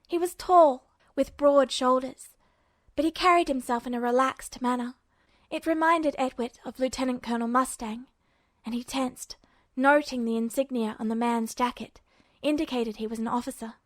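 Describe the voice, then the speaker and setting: A woman's voice: sits high, normal speed, dry recording. A woman reading scripted fiction aloud as a narrator. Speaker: audiobook-style narrator of fan fiction.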